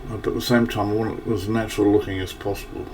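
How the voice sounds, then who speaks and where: A man's voice: lowish voice, flat delivery, quick pace, a little mellow. A man giving casual gameplay commentary, thinking aloud in a rambling way with a slightly scratchy early-morning voice. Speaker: gaming channel host. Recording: distant mic, faint background noise.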